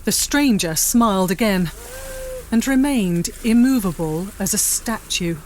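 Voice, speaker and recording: A woman's voice: medium pitch, lively intonation, unhurried, slight background noise. A woman reading scripted narration for a dramatic audio story.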